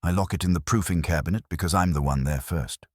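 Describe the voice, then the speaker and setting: Man playing a blunt, deep-voiced woman baker. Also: quick pace, a little mellow, no echo, clean studio sound.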